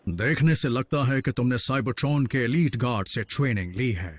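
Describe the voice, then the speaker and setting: A man voicing a noble, calm robot leader in an animated series dub, speaking formally and with authority. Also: mid-range voice, normal speed, no echo, lo-fi sound, lightly acted. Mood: gracious, formal.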